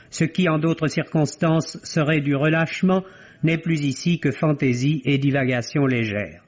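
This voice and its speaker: A man delivering a prepared film review as a radio critic in an articulate, literary and wryly ironic way, in 1950s French radio style. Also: a little high. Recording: dull, band-limited vintage radio recording.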